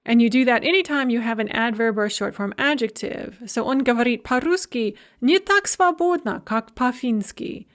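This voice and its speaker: A woman lecturing on Russian grammar, speaking clearly and measuredly, and slowing down to model the Russian example sentences. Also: fairly high pitch, lively intonation. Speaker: university Russian language lecturer. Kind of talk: recorded language lecture.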